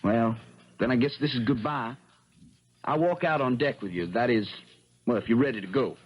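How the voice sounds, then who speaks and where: A man's voice: mid-range voice, normal speed, breathy edge, natural voice. Man playing earnest young American adventurer, muffled radio. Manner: folksy storytelling. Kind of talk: 1970s radio drama dialogue and narration. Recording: dull vintage radio broadcast.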